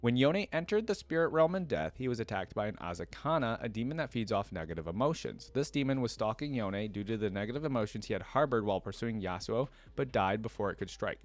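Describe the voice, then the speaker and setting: A man hosting a reaction video, reading lore aloud and breaking in with informal, off-the-cuff commentary as he thinks out loud. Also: fairly low pitch, expressive, quick pace, few pauses. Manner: informal, thinks aloud between read passages.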